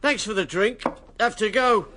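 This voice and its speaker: Bitter hard-drinking major, high-pitched, sharp forceful tone, self-mocking, brash, radio drama, faint background noise. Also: male voice, lively intonation, moderate pace. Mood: bitter, sardonic. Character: bitter, cynical, hard-drinking army major turned politician.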